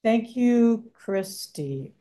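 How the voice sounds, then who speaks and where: Slow, relaxed woman chairing a meeting. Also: a little high. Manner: slow, relaxed, brief cues, laughs easily.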